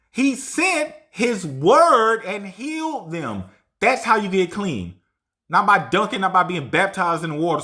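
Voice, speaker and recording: A man teaching scripture in an informal, emphatic way, reading verses aloud slowly and often checking in with "right?". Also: sits high, pushed and bright. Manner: emphatic, tags lines with "right?". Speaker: Bible teacher.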